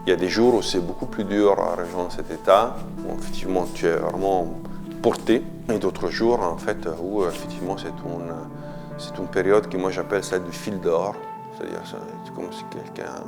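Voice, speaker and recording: A man's voice: sits low, fairly even intonation, normal speed, gravelly, music in the background. A man, a sculptor speaking French in a documentary interview, thoughtful and hesitant, often repeating words as he searches for them. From Italy. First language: Italian.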